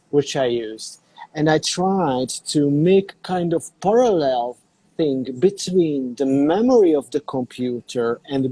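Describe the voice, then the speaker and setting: A man's voice: on the high side, mellow, husky, no room sound, poor audio. A middle-aged man from Hungary, a native Hungarian speaker, talking unhurriedly in an interview and searching for his words. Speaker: interview guest, digital artist. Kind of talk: casual interview on a call. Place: cafe in a small town.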